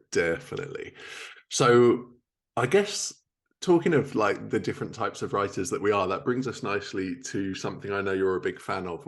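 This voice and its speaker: A man with a low-pitched voice hosts a podcast interview. He speaks in a casual, conversational way as he chats with a guest. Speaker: podcast host.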